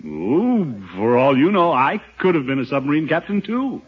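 An actor playing a pompous, blustering middle-aged American uncle in a 1950s radio comedy. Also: male voice, on the high side, very animated, moderate pace, slightly rough. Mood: boastful bluster. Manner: pompous, theatrical chuckles and bluster. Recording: muffled old 1950s radio recording.

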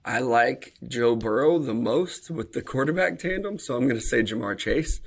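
A man's voice: mid-range voice, lively intonation, normal speed, slightly rough. A man co-hosting a podcast, chatting casually about football and hedging with fillers. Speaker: podcast co-host. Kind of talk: casual sports chat.

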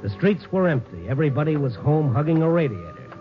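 An actor playing a wry, world-weary newspaper night reporter in a 1950s radio drama, with a sardonic, hard-boiled way of storytelling. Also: male voice, fairly high pitch, sing-song, fast. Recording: muffled 1950s radio recording, music behind.

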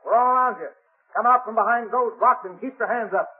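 A man playing a threatening posse man in a 1950s radio western, calling out orders in a commanding shout. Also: very high-pitched, a little fast. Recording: noisy, tinny old 1950s radio recording.

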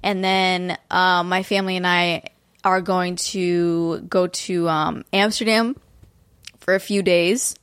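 A woman's voice: on the low side, level tone, slow, a bit bright, clear, resonant tone, no room sound, studio quality. A young woman from Romania co-hosting a podcast in casual chat, talking with lots of fillers. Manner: chatty, many fillers like "like" and "um". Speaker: podcast co-host.

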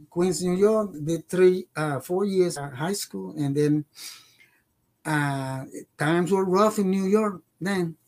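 Podcast guest: male, 60s, Puerto Rican accent, native Spanish speaker, slightly high voice, slow halting delivery. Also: a little mellow. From Puerto Rico. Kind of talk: personal life-story interview.

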